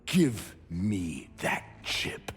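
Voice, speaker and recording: A man's voice: sits low, sing-song, drawn-out words, exaggerated voice. An actor playing a rigid, hot-tempered warship captain in a video game, officious and clipped in his orders. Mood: stern. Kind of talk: cinematic video game acting. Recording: echoey hall reverb, music behind.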